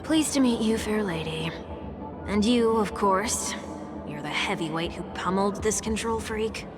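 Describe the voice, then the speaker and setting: Flamboyant squeaky courtly sidekick, played by a woman with wild pitch leaps. Heavy game score underneath. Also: lively intonation, unhurried, natural voice. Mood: flattering, teasing. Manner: theatrical, swooping, mocking. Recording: loud game music behind.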